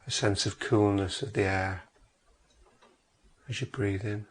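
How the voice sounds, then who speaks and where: A man with a fairly low voice leads a guided meditation. He speaks very slowly, giving measured instructions and leaving long pauses between phrases. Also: fairly even intonation, breathy edge.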